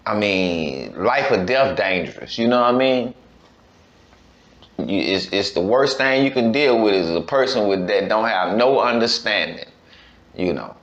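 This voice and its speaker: A man's voice: on the low side, fairly bright tone. A man hosting a casual reaction commentary, conversational, often checking in with his listeners as he goes. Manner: conversational, full of check-in phrases. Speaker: host reacting to a clip. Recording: roomy echo, slightly dull sound.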